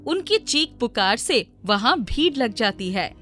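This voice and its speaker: A woman's voice: fairly high pitch, sing-song, a little slow, pushed and bright, soft background music. A woman reading scripted narration for a moral story in a storyteller's manner.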